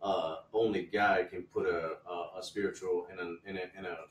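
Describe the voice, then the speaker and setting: A man's voice: flat delivery, normal speed. A man in a casual podcast chat, talking earnestly with stumbles and some teasing. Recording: distant mic, echoey room, faint rumble.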